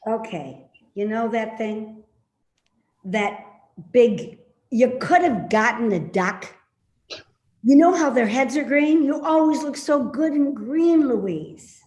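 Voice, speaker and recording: A woman acting an elderly mother in a readers theater play, stubborn, grumbling and sharp-tongued, with a querulous delivery. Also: fairly high pitch, lively intonation, slow pace. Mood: wistful. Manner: grumbling, guilt-tripping, pointed. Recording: roomy, echoey sound.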